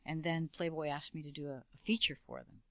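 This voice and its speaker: Woman guest in casual chat; gravelly tone, wry, easygoing delivery. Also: low voice, a little fast, no room sound. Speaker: interview guest, filmmaker. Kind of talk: casual interview conversation.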